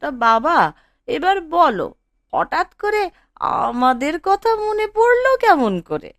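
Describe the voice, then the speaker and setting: A woman voicing a well-off, smug elder daughter in a cartoon, gushing in drawn-out phrases and scornful of her poorer sister. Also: sits high, sing-song, no echo, studio quality, exaggerated voice. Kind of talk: Bengali cartoon voice acting.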